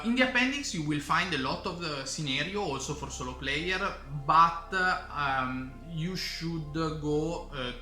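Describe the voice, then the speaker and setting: A man with an Italian accent explaining board game rules in English as a channel host, halting with many fillers and restarts. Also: medium pitch, bright, forceful tone. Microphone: camera microphone. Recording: roomy camera-mic audio with faint music.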